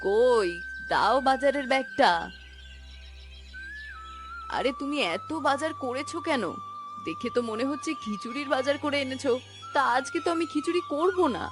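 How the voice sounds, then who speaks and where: A woman playing a caring, mildly scolding Bengali village wife and mother in a cartoon story, with a homely, expressive manner. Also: high-pitched, normal speed, long pauses, over music. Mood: homely, attentive.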